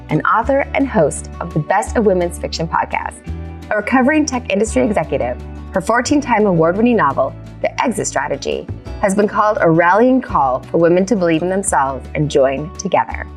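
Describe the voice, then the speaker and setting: Lively-pitched woman hosting, music behind. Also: a little fast. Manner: encouraging, affirming. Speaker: podcast host, interviewer. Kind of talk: interview hosting.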